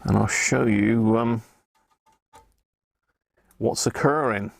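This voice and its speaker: A man with an English accent and a gravelly voice, hosting a hobby electronics live stream in a chatty, rambling way, thinking aloud as he works. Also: fairly low pitch, slow pace, a little hiss or hum. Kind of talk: casual live-stream chat while working at a bench.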